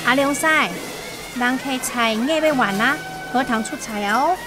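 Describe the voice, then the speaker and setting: An actress with a Taiwanese accent playing a bossy village wife hosting a banquet in a radio drama. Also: female voice, high voice, measured pace, music in the background. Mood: urging.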